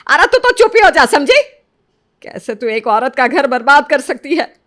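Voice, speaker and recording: A woman voicing an angry older mother-in-law who scolds loudly and harshly. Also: high voice, moderate pace. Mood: scolding.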